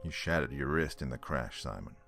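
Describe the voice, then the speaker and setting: A man playing an easygoing, caring survivor in an audio drama, with a gravelly voice and a folksy, reassuring manner. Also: a bass voice, animated, fairly quick, a bit bright, soft background music, full character voice. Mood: calm, caring. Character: Abe, easygoing male survivor, a caring friend.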